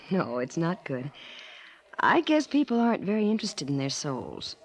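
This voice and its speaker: Young mission worker in old radio drama, lowish voice, lively intonation, polite and kindly. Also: female voice, normal speed. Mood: gracious. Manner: polite, gracious.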